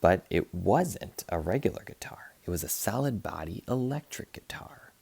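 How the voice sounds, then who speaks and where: Male read-aloud narrator for children's books: playful, lively swings in pitch, close dry sound. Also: moderate pace, gravelly, husky, dry recording. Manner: expressive, playful, acts out sound effects. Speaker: teacher reading aloud to students. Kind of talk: animated children's picture book read-aloud.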